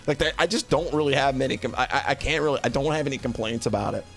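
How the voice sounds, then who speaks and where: A man giving casual, unscripted commentary as a streamer, halting often and repeating or correcting words as they go. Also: mid-range voice, creaky, soft background music. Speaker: streamer reviewing visual novels.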